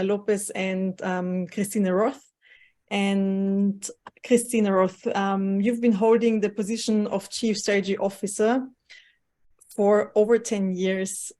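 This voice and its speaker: A woman hosts an online round table, bringing in each guest and asking polite, measured questions. Her voice has a plain middle pitch. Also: darkish tone. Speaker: moderator. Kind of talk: moderating a panel. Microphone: video call microphone.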